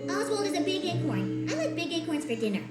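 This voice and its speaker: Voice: extremely high pitch, very animated, fast talker. An actor voicing a small, fussy, set-in-its-ways animal in a children's cartoon, speaking emphatically. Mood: fussy, firm. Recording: roomy sound, loud music underneath.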